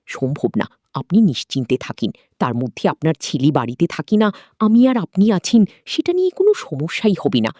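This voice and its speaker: A woman's voice: on the low side, mellow, no echo, clean studio sound. A woman voicing a shy, obliging young newlywed daughter-in-law, speaking politely in an audio story.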